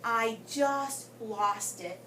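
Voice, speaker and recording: Female storyteller for a children's read-aloud, higher-pitched and expressive, picked up from across a roomy space. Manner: expressive, with dramatic pauses and emphasis. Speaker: guest story reader for children. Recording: roomy, distant mic with background noise.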